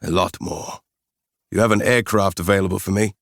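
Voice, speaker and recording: A man voicing a tough male spy commander, measured and unflappable. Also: sits low, fairly even intonation, gravelly, a bit bright, no echo, studio quality. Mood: calm.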